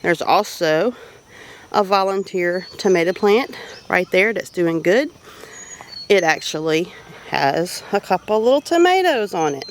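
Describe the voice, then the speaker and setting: A woman's voice: on the low side, expressive, unhurried, slight background noise. Folksy Southern woman, outdoors with birdsong. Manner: folksy, chatty. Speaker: homesteading vlogger. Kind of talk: casual garden tour narration. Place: outdoors in a home garden. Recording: outdoor ambience with animal noises. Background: birdsong and farm animal sounds.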